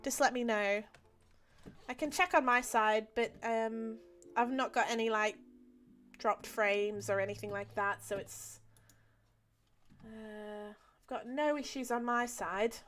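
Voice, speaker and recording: A woman's voice: a little high, fairly even intonation, takes it slowly, pauses often, a bit bright, soft background music. Chatty woman streaming a game. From Australia. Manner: chatty, many ums and restarts, laughs easily. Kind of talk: casual live-stream chat while playing.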